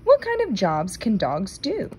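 A woman reading a children's nonfiction book aloud in a careful, deliberate manner. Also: mid-range voice, huge pitch swings, a little mellow, a little hiss or hum. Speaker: read-aloud reader.

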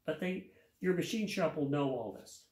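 A man's voice: a little high, expressive, normal speed, heavy background noise. An older man explaining an engine rebuild in his home garage, talking in a plain, practical way and repeating words as he works. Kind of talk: hands-on how-to explanation. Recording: echoey garage.

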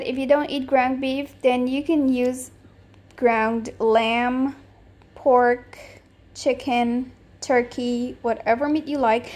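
A woman's voice: high-pitched, darkish tone. A woman hosting her own cooking channel, giving a friendly, upbeat voice-over as she walks through a recipe step by step.

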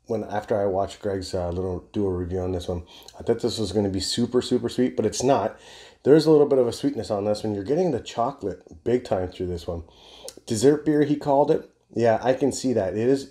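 A man's voice: medium pitch, warm tone. A man in his forties chatting casually to camera as a reviewer, enthusiastic and rambling, with casual swearing. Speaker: beer reviewer, video host. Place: indoor home bar room.

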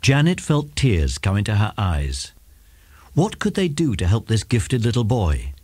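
A man narrating a scripted children's story in an expressive storytelling manner. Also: fairly low pitch, measured pace, breathy edge, no room sound.

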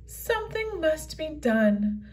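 A woman's voice: high voice, very animated, slow, darkish tone, distant mic, pitched above the performer's own voice, full character voice. A woman voicing a vain and elegant female unicorn, speaking grandly in a children's comic read-aloud. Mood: grave. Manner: grand, formal storybook delivery.

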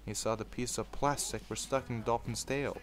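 A man's voice: mid-range voice, steady tone, brisk. A man in an informal, sweary manner, hosting a video and moving between casual commentary and storytelling. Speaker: video host reading fan fiction aloud.

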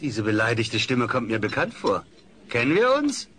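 An actor playing a jovial, boisterous fat stand-up comedian doing a routine in a radio play. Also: male voice, medium pitch, wildly swooping pitch, moderate pace, a bit bright. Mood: curious.

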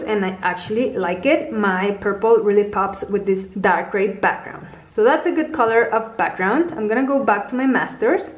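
Patient woman walking through app steps. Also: on the high side. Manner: patient, explains each tap as she goes. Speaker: tutorial host. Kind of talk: step-by-step app tutorial narration. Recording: roomy echo.

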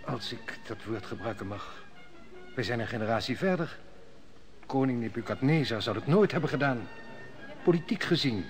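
A man's voice: mid-range voice, expressive, natural voice. A man playing a formal court steward. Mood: dutiful. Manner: formal, measured. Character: loyal court steward, male, dutiful. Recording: old radio play with music.

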